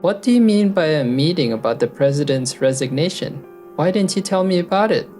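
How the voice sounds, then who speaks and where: A woman's voice: animated, brisk, warm tone. A woman playing an earnest, loyal young office worker and narrator in a manga dub, speaking in a conversational way. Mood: urgent, determined. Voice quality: low-pitched voice. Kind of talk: anime-style manga dub with first-person narration. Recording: background music under the voice. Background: music.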